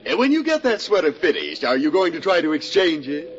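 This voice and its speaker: Snide, swooping store clerk. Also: male voice, a little high, very animated, a little fast. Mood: mock-polite, sarcastic. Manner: mock-polite, arch. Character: snide, sarcastic male department store clerk. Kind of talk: 1940s radio sitcom acting. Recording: noisy, muffled 1940s radio recording.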